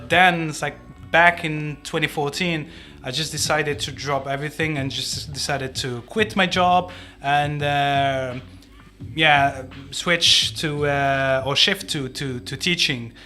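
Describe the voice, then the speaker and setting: A man's voice: a little high, takes it slowly, sharp tone. A man with an Algerian accent answering interview questions in a conversational way, like a teacher explaining himself. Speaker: English teacher, podcast guest.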